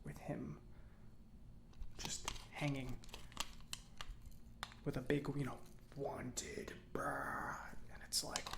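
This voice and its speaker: Soft-spoken, creaky-voiced man as a chatty mechanic. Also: medium pitch, little pitch movement, noisy background. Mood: amazed, musing. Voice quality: soft, breathy, often near whisper. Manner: halting, musing, conversational. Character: chatty, opinionated male government robot mechanic, gently confiding. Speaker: ASMR roleplay performer. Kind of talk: soft-spoken ASMR roleplay.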